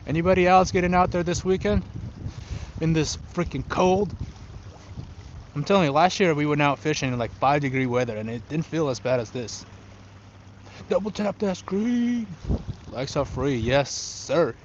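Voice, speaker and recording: A man's voice: on the high side, normal speed, muffled recording, slight background noise. A young man from Ukraine who learned English later, chatting casually and informally as he hosts an outdoor fishing livestream and answers viewers. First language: not English, learned it after moving to the US. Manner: informal, switches between English and Russian. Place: outdoors on a riverbank in wind.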